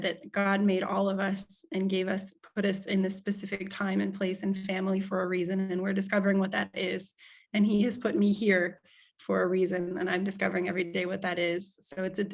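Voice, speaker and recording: An American woman answering interview questions on a video call, speaking softly with many restarts. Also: mid-range voice. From United States. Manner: soft-spoken, halting, with many restarts. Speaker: guest speaker.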